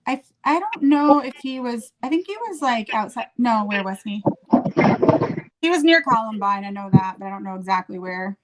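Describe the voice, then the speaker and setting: A woman's voice: fairly high pitch, animated, normal speed, slight background noise, rough recording. A woman chatting casually, friendly and easily amused. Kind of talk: casual chat.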